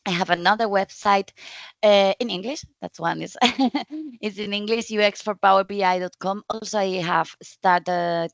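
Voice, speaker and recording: A woman from Spain with a Spanish accent, giving a conference presentation in friendly, halting non-native English with frequent restarts. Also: mid-range voice, gravelly, no room sound, dull audio. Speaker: conference speaker.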